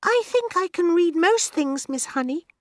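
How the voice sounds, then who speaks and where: A high, earnest little schoolgirl, polite and a bit shy. She chooses her words with care in this storybook reading, with a lively lilt and a dry, close sound. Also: moderate pace, no room sound. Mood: polite, assured. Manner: polite, careful, precise. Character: bright, earnest, shy five-year-old schoolgirl.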